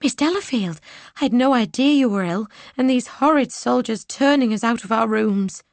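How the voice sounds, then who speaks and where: A woman's voice: fairly high pitch, moderate pace, no echo, pitched above the performer's own voice, lightly acted. A woman voicing a protective maid who scolds and bristles with indignation. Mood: indignant. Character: fierce loyal young maid, protective and indignant.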